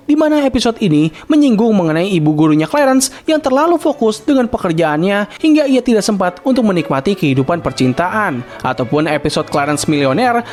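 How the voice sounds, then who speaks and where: Fast, lively young man reviewing cartoons. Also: sits high. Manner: casual Jakarta slang, opinionated. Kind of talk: scripted video-essay narration. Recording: voice-over with faint background music.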